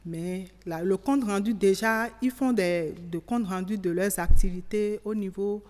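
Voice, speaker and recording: A woman giving measured, explanatory interview answers as an expert, often rephrasing as she goes. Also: mid-range voice, darkish tone. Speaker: expert interviewee on local government. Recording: reverberant hall sound.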